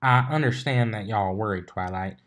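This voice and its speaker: A man's voice: fairly low pitch, brisk, fairly bright tone, close to an everyday voice. Man voicing folksy female farmer pony. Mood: reassuring. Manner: folksy, plain-spoken, comforting. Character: easygoing, reassuring female farmer pony with country speech.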